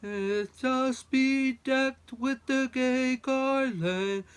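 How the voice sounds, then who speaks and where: A woman's voice: high voice, very slow, clear, resonant tone. A woman playing a festive herald, reciting in a carol-like, ceremonial style.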